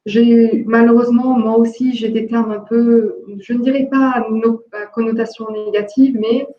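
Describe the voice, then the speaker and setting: A woman from Jordan with no accent, speaking haltingly with many fillers and restarts in an online discussion workshop. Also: a little high, little pitch movement, soft background music. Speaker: workshop participant, entrepreneur. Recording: echoey, dull lo-fi call audio.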